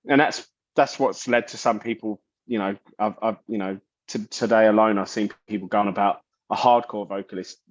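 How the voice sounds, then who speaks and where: A man who sings and plays bass in a metal band, answering interview questions in a halting way with many restarts. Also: dry recording, muffled recording. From England. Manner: halting, searching for words, frequent restarts. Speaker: metal band vocalist and bassist.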